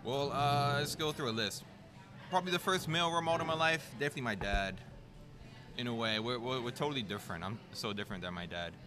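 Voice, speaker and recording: A man with a mid-pitched voice chats in a relaxed, playful way. He laughs often and drops casual slang. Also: fast talker, music in the background. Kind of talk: casual chat.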